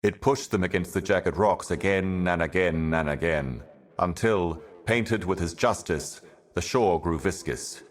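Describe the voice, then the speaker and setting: A man voicing an ancient, otherworldly envoy in a video game, delivering cryptic monologues in a solemn, measured, portentous manner. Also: low voice. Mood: solemn, ominous. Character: ancient cosmic envoy, solemn otherworldly prophet serving higher powers.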